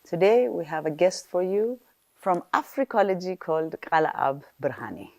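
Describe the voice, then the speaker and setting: A woman's voice: fairly low pitch, expressive, moderate pace, darkish tone, dry recording. A woman hosting a talk show interview, asking questions in a friendly way with short encouraging replies. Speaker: host.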